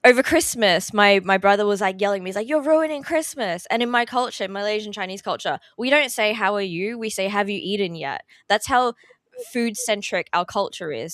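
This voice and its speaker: A woman's voice: mid-range voice, fairly bright tone, no room sound. Woman, thirties, teary and chatty, dry close sound. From Australia. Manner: chatty, many fillers, laughs through emotion. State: tearful. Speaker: podcast guest. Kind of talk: casual interview chat. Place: relative's house, indoors.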